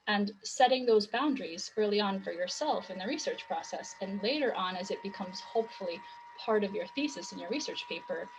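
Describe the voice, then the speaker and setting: A woman's voice: medium pitch, lively intonation, fairly quick, a little hiss or hum, poor audio. A woman writing tutor, losing her voice, explaining in long run-on sentences full of rhetorical questions. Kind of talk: conversational teaching talk.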